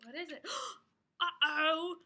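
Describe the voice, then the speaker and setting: A woman's voice: very high-pitched, steady tone, takes it slowly, sharp tone. A woman reading a picture book aloud to a child in a dramatic, sing-song way, with exclamations.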